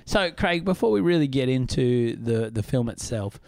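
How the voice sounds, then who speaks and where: A husky man co-hosting a film podcast, chatty and eager, often laughing. His voice sits at a middle pitch and is recorded close and dry, with no room sound. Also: lively intonation, normal speed, darkish tone, dry recording. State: husky from illness. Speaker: podcast co-host. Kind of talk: casual film podcast chat.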